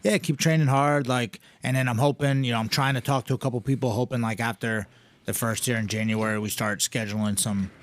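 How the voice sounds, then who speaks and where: A man co-hosting a casual podcast, rambling and swearing, his pitch jumping up when he gets excited. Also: a little fast. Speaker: podcast co-host, gym owner. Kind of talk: casual profane chat.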